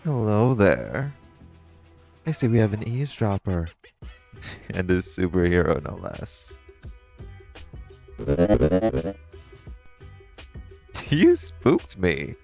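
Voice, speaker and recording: A man's voice: deep voice, big pitch swings, extremely slow, creaky, pauses often, warm tone, faint music behind, full character voice. A man playing a flirty, cocky supervillain master thief in an audio roleplay, teasing and theatrical. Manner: teasing, theatrical, purring, chuckling. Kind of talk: audio roleplay acting, intimate monologue to listener.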